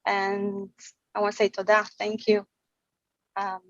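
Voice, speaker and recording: A woman in her forties from Israel, sharing her gratitude on a group video call, halting and full of fillers and restarts. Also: medium pitch, monotone, a bit bright, dry recording, muffled recording. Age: 40s. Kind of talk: heartfelt casual sharing.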